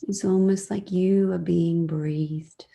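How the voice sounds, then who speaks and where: A woman leading a guided meditation in a soft, sometimes breathy voice, soothing and gentle, leaving long pauses between phrases. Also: fairly low pitch, fairly even intonation.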